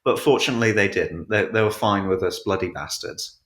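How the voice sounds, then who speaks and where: Male interview guest, lowish pitch, echoey room, informal and halting delivery. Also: fairly bright tone. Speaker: podcast guest, audiobook narrator. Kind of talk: casual chat. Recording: roomy, echoing sound.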